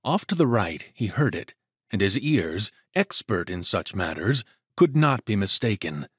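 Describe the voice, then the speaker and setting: Expressive male narrator with animated intonation. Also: lowish voice, measured pace, breathy edge, no room sound, studio quality. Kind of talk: dramatic scripted story narration.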